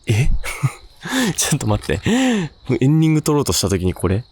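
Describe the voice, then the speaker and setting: A man's voice: mid-range voice, wildly swooping pitch, normal speed, darkish tone, slight background noise. A man hosting a paranormal investigation on location, chatting casually and reacting to the place. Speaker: paranormal investigator and host in a video duo. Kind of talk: casual on-location ghost-hunting chat.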